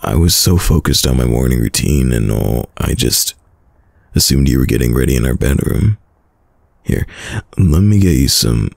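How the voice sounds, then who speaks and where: Man playing a very deep, gravelly doting boyfriend. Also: expressive, no echo, clean studio sound, full character voice. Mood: reassuring, worried. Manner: soft, slow, soothing, hesitant. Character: caring, worried, doting young boyfriend. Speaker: audio roleplay voice actor. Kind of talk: intimate boyfriend ASMR roleplay.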